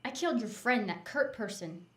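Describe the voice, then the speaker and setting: A woman's voice: a little high, animated, normal speed, a bit bright, breathy edge. A woman acting in an audio drama as a young villainous sorceress, defiant and scornful, with a theatrical, threatening manner. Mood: menacing, defiant.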